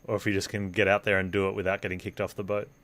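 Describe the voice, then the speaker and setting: A man's voice: low voice, little pitch movement, racing, fairly bright tone. A man giving casual live gameplay commentary, thinking aloud in an offhand way as he streams. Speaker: video game streamer. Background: game music.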